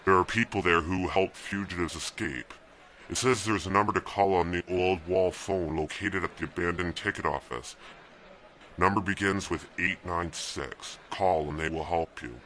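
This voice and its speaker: An actor playing a newlywed young man planning an escape from a dystopian regime, talking calmly and giving steady instructions in an amateur radio drama. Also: low-pitched, normal speed, a little hiss or hum. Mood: instructing.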